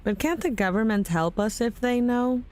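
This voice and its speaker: A woman's voice: a little high, normal speed, darkish tone, close to an everyday voice. A woman playing a shaken, disbelieving character, anxious and questioning, in an audio drama. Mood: worried. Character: shaken, disbelieving woman fearing for loved ones.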